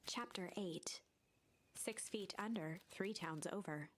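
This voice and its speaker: A woman narrator reading a scripted storybook game in a measured storytelling voice. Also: mid-range voice. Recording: narration over game music.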